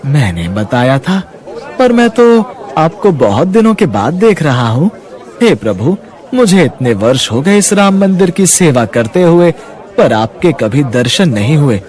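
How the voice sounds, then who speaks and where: A man's voice: a little high, very animated, unhurried, darkish tone, a faint crowd. A man playing a cordial, devout temple priest in a devotional story, speaking with reverence. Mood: awed, reverent. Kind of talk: dramatised Hindi devotional story.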